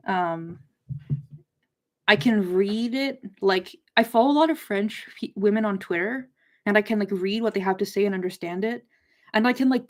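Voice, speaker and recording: A young woman hosting an informal livestream discussion. She speaks at a middle pitch in a loose, conversational way, wandering from one thought to the next as she addresses her viewers. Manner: informal, rambling, digressive. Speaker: livestream host of a book discussion. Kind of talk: casual chat with live viewers.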